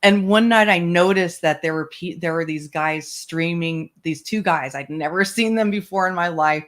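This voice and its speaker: A woman's voice: lowish voice, normal speed. Chatty woman hosting a livestream. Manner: rambling, animated, laughs often. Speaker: book club host. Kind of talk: casual livestream chat.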